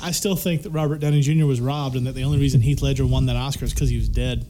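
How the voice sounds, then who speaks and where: A man's voice: mid-range voice, steady tone, rapid-fire, mellow, slight background noise. A man giving wry, opinionated takes in casual film chat on a podcast. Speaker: podcast crew member.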